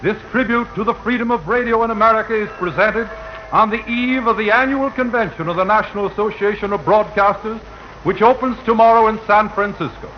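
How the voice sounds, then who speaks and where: A male radio announcer with a high voice delivers formal, oratorical copy in 1940s style. His delivery is stately. The old broadcast is muffled, with some faint noise. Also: brisk. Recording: dull, noisy 1940 radio broadcast.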